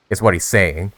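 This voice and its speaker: A man's voice: deep voice, fairly bright tone, a little hiss or hum. A young man giving casual, slangy, bemused commentary as he plays through a video game. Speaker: gamer, video creator.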